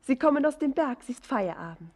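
An actress playing a dreamy, tender young miner's daughter in a German radio play, lyrical and often lapsing into whispers. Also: female voice, high-pitched, lively intonation, fairly quick, a little mellow. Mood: eager, excited.

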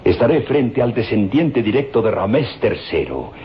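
A man's voice: medium pitch, very animated, moderate pace, natural voice. An actor playing a fatherly, solemn professor eager for discovery, in theatrical old radio drama style. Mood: impassioned, solemn. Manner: theatrical, grandiloquent. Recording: dull lo-fi old radio recording, hiss.

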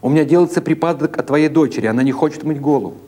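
An exasperated wife and mother, played by a male narrator scolds in a raised, indignant voice. Her exclamations come sharp and insistent, in the manner of a dramatic audiobook. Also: a little high, racing, pitched above the performer's own voice, close to an everyday voice. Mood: exasperated, scolding.

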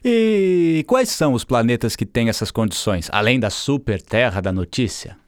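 A man with a Brazilian accent playing a down-to-earth, gently teasing skeptic who chats casually in a radio drama. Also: mid-range voice, animated, normal speed, no room sound, clean studio sound, lightly acted. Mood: skeptical. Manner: casual, questioning. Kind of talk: scripted conversational radio drama.

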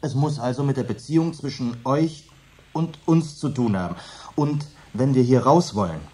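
A brooding, searching man caught in an eerie house scene, played by an actor. His voice is mellow and dark but moves lively up and down as he presses his friends. A radio-drama delivery, intense and accusing. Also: mid-range voice, moderate pace, close to an everyday voice. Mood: insistent. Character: Ed, anxious brooding homeowner trapped with friends. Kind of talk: radio play acting.

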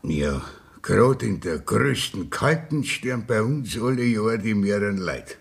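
An actor with a Bavarian accent playing a glum village gravedigger in a radio play, speaking in heavy dialect. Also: male voice, on the low side, expressive, measured pace, creaky, husky, exaggerated voice. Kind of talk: 1960s radio play acting.

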